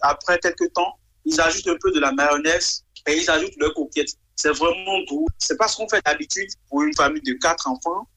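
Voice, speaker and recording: A man reporting a news item, hesitant and pausing often. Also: a little high, sharp tone, low-quality recording. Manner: hesitant, with pauses and fillers.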